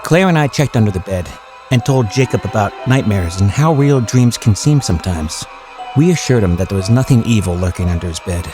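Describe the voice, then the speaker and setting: An ordinary small-town family man, played by an actor, wistfully recounting eerie memories. His voice is on the low side, with folksy, measured storytelling over clearly audible music in a horror audio drama. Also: animated, lightly acted. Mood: reflective, measured. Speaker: first-person narrator.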